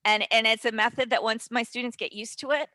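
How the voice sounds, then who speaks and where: A woman talks through her coaching method in an animated, fast-moving way, full of quick asides. Her voice is bright and forward, and the call mic sounds close and dry, with no room around it. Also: a little high, dry recording. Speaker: voice and dialect coach. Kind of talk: webinar guest explaining a method. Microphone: webinar call mic.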